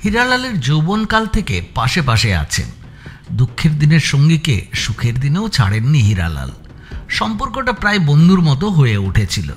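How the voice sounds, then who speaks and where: Lively male narrator of a suspense story. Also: fairly high pitch, moderate pace.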